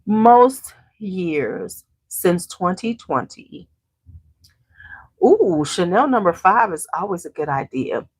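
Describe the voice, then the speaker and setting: A woman's voice: on the low side, sing-song, slow, slightly rough, no room sound. A congested woman hosting a beauty channel, chatting casually with viewers at home and greeting them by name. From Chicago area. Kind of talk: casual chat to a live audience.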